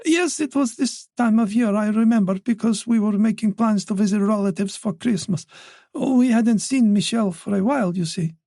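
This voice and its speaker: A man's voice: high voice, normal speed, warm tone, no room sound, studio quality, pitched above the performer's own voice. Man putting on a talkative older mother. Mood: earnest. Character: worried older French mother, forthright and talkative.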